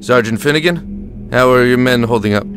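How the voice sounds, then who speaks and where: An actor playing a tired but steady American army lieutenant in a war audio drama, with measured, reassuring authority. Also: male voice, medium pitch, background noise, lightly acted. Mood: firm.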